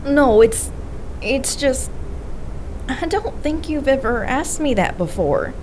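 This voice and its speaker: A woman plays an earnest investigator in an audio drama, over faint background noise. Her voice sits slightly high and she speaks slowly. She is proud and pointed, ready to challenge her partner. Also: animated. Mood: hurt, troubled. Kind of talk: naturalistic audio drama acting.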